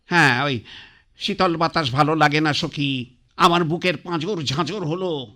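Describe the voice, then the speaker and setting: Grumpy old man on his deathbed, radio drama, bossy and sarcastic, highish voice. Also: measured pace, sharp tone. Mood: irritable. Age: older. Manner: sarcastic, commanding, brushes others off. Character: dying old man, blunt, scornful, stubborn. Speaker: bedridden patriarch.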